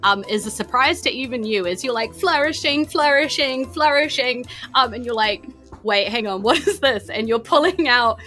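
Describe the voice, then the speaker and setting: Kiwi woman running a dnd game, bright higher voice, chatty improv storytelling, music behind. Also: lively intonation, moderate pace. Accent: New Zealand. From New Zealand. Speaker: game master of a tabletop game podcast. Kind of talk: casual improvised game narration. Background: background music.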